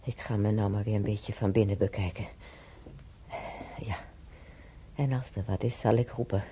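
A woman's voice: a bass voice, steady tone, moderate pace, warm tone. An actress playing a frail old woman in hospital in a radio drama, hesitant and murmuring, repeating herself. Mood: fretful. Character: frail old woman hospital patient, wandering memories, grateful, fretful. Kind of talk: naturalistic radio drama acting. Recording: noisy, muffled old radio recording.

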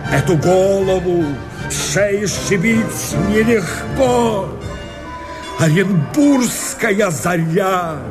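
An actor playing a desperate, wildly determined escaped convict, declaiming verse with great passion in a radio drama. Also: male voice, sits high, heavily acted. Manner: impassioned, drawn-out theatrical declamation. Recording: studio radio play with loud music.

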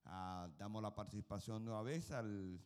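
A man's voice: on the low side, moderate pace, darkish tone, clear, resonant tone. A man moderating a church panel, courteous and a little hesitant as he announces the next speaker.

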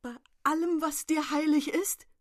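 A woman's voice: sits high, steady tone, unhurried. An actress playing a grieving, desperate mother of a fallen soldier in a radio drama, with a trembling, tearful voice and pleading, accusing questions. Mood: insistent, pleading. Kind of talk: German radio drama acting.

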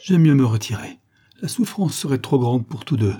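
A man's voice: fairly low pitch, moderate pace, warm tone, breathy edge, no echo, clean studio sound. A man voicing a heartbroken, resolute young woman, emphatic and pleading, in a melodramatic storybook reading. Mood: anguished.

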